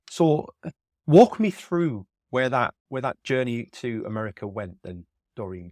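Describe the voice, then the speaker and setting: A man's voice: on the low side, no room sound, clean studio sound. Friendly rambling man hosting a podcast. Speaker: podcast host. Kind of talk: casual interview hosting.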